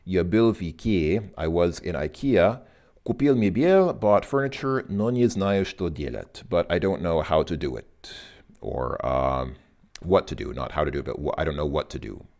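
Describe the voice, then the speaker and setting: A man's voice: low-pitched, lively intonation, unhurried, darkish tone. A man teaching a casual lesson, thinking aloud with many fillers and corrections. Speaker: language teacher. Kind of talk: casual recorded lesson explanation.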